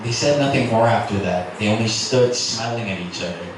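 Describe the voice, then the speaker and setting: A man's voice: lowish voice, fairly quick. A man giving a dramatic, scripted narration of a romance novel as a reader at a literary event. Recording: echoey, noisy room from far off.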